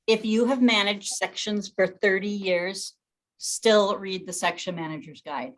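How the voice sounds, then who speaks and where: A woman with a bright, forward voice at an ordinary female pitch. She leads an online meeting informally, chatting through her points and often breaking off to restart a thought. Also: a little slow, poor audio. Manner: chatty, self-interrupting. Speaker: meeting leader and organizer.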